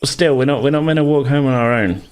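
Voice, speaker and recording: A man's voice: mid-range voice, fast talker, clear, resonant tone, voice pushed up, natural voice. A man playing an insistent young schoolgirl, a plaintive, nagging little sister in a tabletop game.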